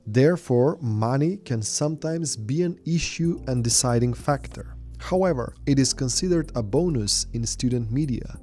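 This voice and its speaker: A man's voice: mid-range voice, animated, moderate pace, darkish tone. A man reading scripted documentary narration in formal sentences.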